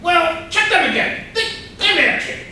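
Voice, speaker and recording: A man's voice: very high voice, sing-song, moderate pace, bright, forceful tone, heavily acted. An actor playing a pompous, boastful rich businessman with a blustering, self-important manner in a comedy play reading. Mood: indignant boasting. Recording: very echoey room, distant mic, faint noise.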